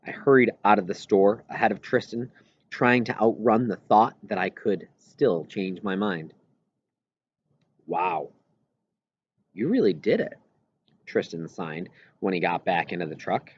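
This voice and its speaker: Low-voiced man reading a story, roomy sound. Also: measured pace, pauses often, breathy edge. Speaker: audiobook reader. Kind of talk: scripted first-person story narration. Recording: roomy echo, slightly dull lo-fi sound.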